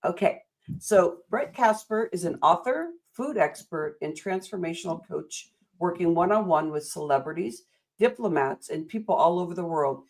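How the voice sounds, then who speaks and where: A woman's voice: lowish voice, expressive, moderate pace, a little mellow, rough recording. An American woman hosting a motivational interview, enthusiastic and a little rambling, speaking in affirming phrases. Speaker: interview host.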